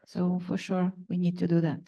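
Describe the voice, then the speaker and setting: A woman's voice: on the low side, completely flat delivery, moderate pace, gravelly, dull audio. A woman from Poland, answering on a conference panel, hesitant with many fillers and restarts. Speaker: panelist, university open science staff. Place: conference hall.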